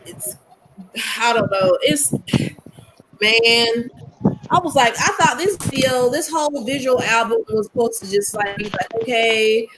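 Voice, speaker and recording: A woman hosting a casual group podcast chat, animated and teasing, with a lot of exclamations. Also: fairly high pitch, unhurried. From US South. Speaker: podcast host. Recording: faint background noise.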